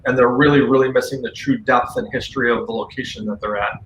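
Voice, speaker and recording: A man's voice: medium pitch, fairly even intonation, fast, a bit bright, dull audio. A man talking casually and earnestly as a guest on a paranormal radio show, with firm opinions. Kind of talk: casual conversational talk. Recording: roomy echo, faint background noise.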